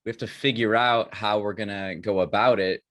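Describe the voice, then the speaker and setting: A man hosting a casual podcast, giving brief reactions and relaxed, reflective remarks. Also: lowish voice, moderate pace. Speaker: podcast host.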